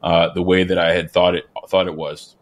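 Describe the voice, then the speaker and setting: A man's voice: low-pitched, little pitch movement, normal speed. A man hosting a podcast interview, upbeat and encouraging, with many "you know"s and ums. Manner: upbeat, encouraging, filler-heavy. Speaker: podcast host.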